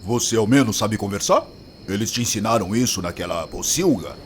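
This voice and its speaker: An actor playing a gruff, crude, hard-drinking but protective man in an audio drama. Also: on the low side, lively intonation, a little fast, a little hiss or hum, natural voice. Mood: grumbling, angry. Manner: blunt, crude, grumbling. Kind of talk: acted audio drama dialogue.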